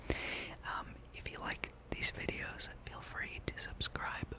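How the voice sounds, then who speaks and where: A person speaking in a breathy whisper, gently rambling and musing aloud while hosting an ASMR gameplay video. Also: takes it slowly. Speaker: gamer and video host.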